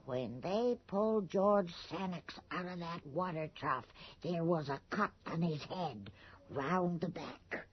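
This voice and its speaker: A woman's voice: on the low side, lively intonation, slow, slightly rough, exaggerated voice. An actress playing an anxious, gossipy rural townswoman in a 1980s radio horror drama, speaking in a folksy, ominous way. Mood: ominous warning. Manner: folksy ungrammatical speech, hushed and ominous. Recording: dull lo-fi radio drama recording.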